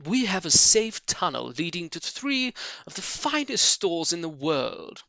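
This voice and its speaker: A man's voice: on the high side, big pitch swings, a little slow, vocal fry, bright, forceful tone, dry recording, exaggerated voice. A man voicing a jovial, confident male fox in a storybook, making grand speeches to his friends. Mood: earnest, persuasive.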